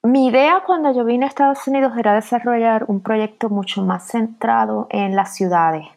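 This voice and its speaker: A woman's voice: on the high side, moderate pace, vocal fry, darkish tone, rough recording. A middle-aged woman with a Puerto Rican accent, an academic guest answering reflectively in conversational style. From Puerto Rico.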